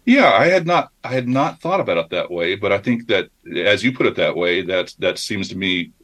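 A man with a very low voice answers a radio host's questions. He speaks modestly and haltingly. He often repeats words and says uh and um as he works out his thought. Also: animated, fairly bright tone. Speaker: guest from a civic project.

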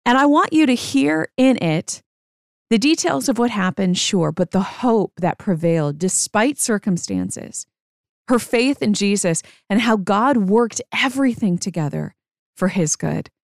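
A woman's voice: medium pitch, expressive, measured pace, gravelly, dry recording, studio quality. A woman hosting an interview show, speaking in an earnest, emphatic way to introduce and talk with a guest. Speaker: host. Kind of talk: scripted intro and conversational interview.

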